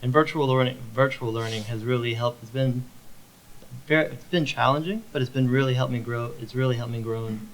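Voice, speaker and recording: A man's voice: medium pitch, a little fast, a little hiss or hum, poor audio. A young man, a student on a panel, talking eagerly and repeating himself. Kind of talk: panel talk.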